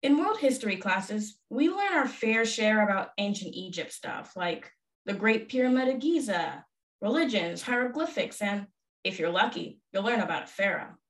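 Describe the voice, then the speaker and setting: A woman's voice: on the high side, far from the mic. A young woman hosting a history podcast, reading her scripted lines with enthusiasm. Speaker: podcast host. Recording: echoey room, voice off-mic.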